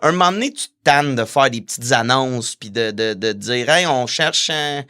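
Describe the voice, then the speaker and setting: A man's voice: medium pitch, animated, moderate pace, pushed and bright, clear, resonant tone. A man with a Quebec French accent, a musician guest in a casual podcast interview, hesitant with many fillers and restarts.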